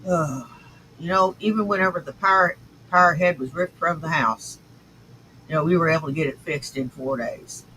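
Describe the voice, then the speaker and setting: Blunt, profane man with a bright voice. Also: fairly high pitch, normal speed, slight background noise, poor audio. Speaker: vlogger. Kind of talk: casual ranting monologue.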